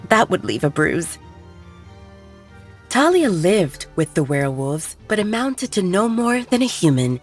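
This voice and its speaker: Woman, audiobook narrator, low pitch, measured storytelling, faint music underneath. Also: very animated.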